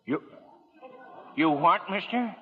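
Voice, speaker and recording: An actor playing a startled, plain-spoken bridge keeper in a 1940s radio drama, husky, on a thin and echoey vintage recording. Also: male voice, high-pitched, lively intonation, slow, phone-quality sound, heavily acted. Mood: puzzled, alarmed.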